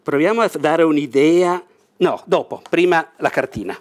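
An older man presenting a board war game in a bright indoor room, talking with enthusiasm, leaning over the table and gesturing as he explains. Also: a little high, moderate pace. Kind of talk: enthusiastic unboxing talk.

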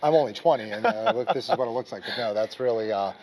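A middle-aged man being interviewed at a conference about his company's plans, talking quickly in business jargon and often restarting his phrases, recorded on a lapel mic in a conference room. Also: mid-range voice, very animated, gravelly, a little mellow, rough recording. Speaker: software executive guest. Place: conference room with a curtain backdrop.

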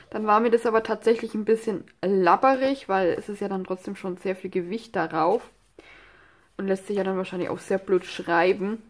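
A woman with a stuffy nose from a cold, chatting casually through a crafting tutorial at her desk, rambling with many fillers and asides. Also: medium pitch. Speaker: craft channel host. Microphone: overhead camera filming hands on desk.

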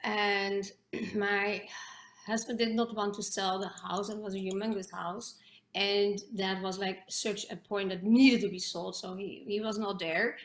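A woman's voice: mid-range voice, steady tone, a little slow. A middle-aged Dutch woman with a Dutch accent, talking earnestly as a guest on a video call interview, with many restarts and fillers. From Netherlands. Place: home room with bookshelves. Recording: echoey room on a video call.

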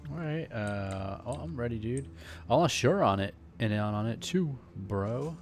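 A man's voice: mid-range voice, animated, a little slow. A man giving casual, slangy, laid-back commentary as he plays a video game. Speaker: gaming let's player. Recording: game music behind the voice. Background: game music.

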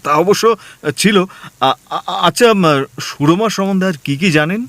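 A man's voice: high-pitched, moderate pace, a bit bright, no echo. A man playing an anxious, gullible newly married husband in a comic audio drama, hesitant and easily flustered. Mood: flustered disbelief.

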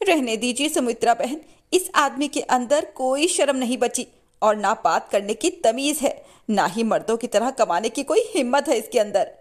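A woman's voice: a little high, moderate pace, close to an everyday voice. A woman voicing a long-suffering, outspoken poor mother in a cartoon story, earnest and plaintive. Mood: indignant.

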